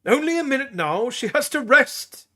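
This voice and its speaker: A man voicing a gruff, fussy old male priest who scolds in a brisk, raised voice. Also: very high voice, big pitch swings, bright, forceful tone, voice pushed up, full character voice. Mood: scolding.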